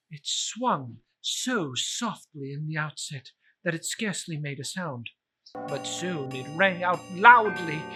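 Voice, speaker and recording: Bright, forceful male dramatic storyteller. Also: on the high side, slightly rough. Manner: theatrical, suspenseful delivery with drawn-out words and emphatic swells. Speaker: storyteller reciting a classic novel. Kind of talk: dramatic reading of a Victorian ghost story.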